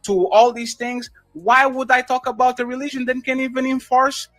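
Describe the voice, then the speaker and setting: A man with a very high voice argues in a live debate. He stammers, repeats himself and cuts in. The sound is dry and close. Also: little pitch movement, moderate pace, fairly bright tone, no room sound, soft background music. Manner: stammering, interrupting, profane. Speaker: debate panelist.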